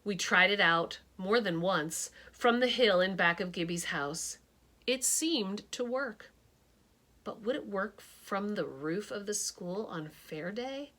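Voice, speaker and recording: A woman teacher reading a picture book aloud, expressive and dramatic, shifting her tone for suspense. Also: medium pitch, slow pace.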